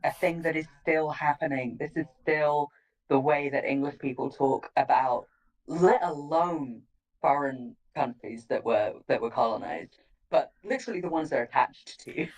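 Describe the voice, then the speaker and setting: Female, deep register, dry sarcastic raconteur in informal chat, miked from a distance. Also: normal speed. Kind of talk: casual chat, anecdote. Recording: distant from the mic.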